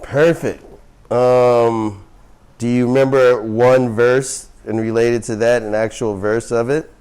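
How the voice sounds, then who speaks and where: A man's voice: medium pitch, steady tone, slow pace, darkish tone, clear, resonant tone. A man teaching a yoga class, asking students playful, prodding questions. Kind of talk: leading a class.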